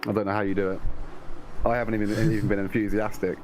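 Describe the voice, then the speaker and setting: A man commentating a long race, hoarse from hours of talking, friendly and teasing with halting speech. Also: on the low side, level tone, a little mellow, a little hiss or hum. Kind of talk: casual commentary chat.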